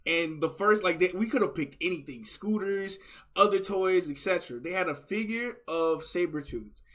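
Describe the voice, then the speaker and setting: A man's voice: a little high, fairly bright tone. A man hosting a reaction video, giving casual, rambling fan commentary in an effusive, slangy, repetitive way.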